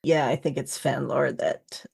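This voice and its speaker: Slow, creaky, low-voiced woman podcast host. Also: fairly even intonation, darkish tone. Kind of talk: casual interview chat.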